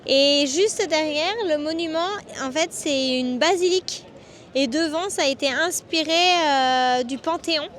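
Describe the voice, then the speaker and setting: High-voiced chatty woman vlogging outdoors. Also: a little slow, a bit bright, a little hiss or hum. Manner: chatty, laughs often, many fillers. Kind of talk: casual travel vlog narration. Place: outdoors in city streets.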